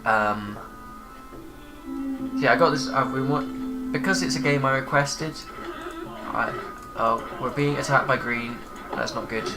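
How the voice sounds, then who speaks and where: A man's voice: mid-range voice, unhurried, music in the background. A man with a cold, giving rambling, hesitant commentary while he plays a game. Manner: rambling, hesitant with many fillers. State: congested with a cold. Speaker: gaming channel host. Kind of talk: casual let's play commentary.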